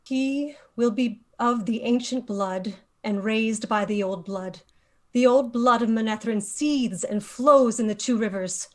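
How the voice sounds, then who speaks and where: A woman playing a stern, commanding leader of a mystic order in a dramatic screenplay table read, authoritative and measured. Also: a little high, lightly acted. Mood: solemn.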